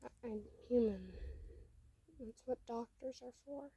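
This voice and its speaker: Teenage hostage played by a woman, affectionate, brave, soft and reassuring, high mellow voice, storybook style. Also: measured pace, husky, exaggerated voice. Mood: tearful, quiet. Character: sixteen-year-old human hostage, affectionate and brave.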